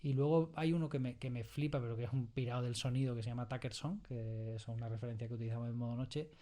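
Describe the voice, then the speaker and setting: Mellow-voiced man from a casual Spanish interview. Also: medium pitch, steady tone, quick pace. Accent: Spain. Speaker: audio fiction scriptwriter, interview guest.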